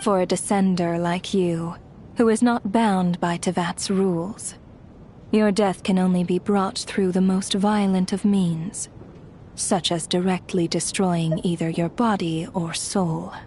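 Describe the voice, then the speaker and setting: A woman's voice: lowish voice, steady tone, unhurried, a little mellow, a little hiss or hum, lightly acted. A woman playing a cold, imperious ruler of death in a video game, speaking formally and with detached menace. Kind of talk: scripted video game acting.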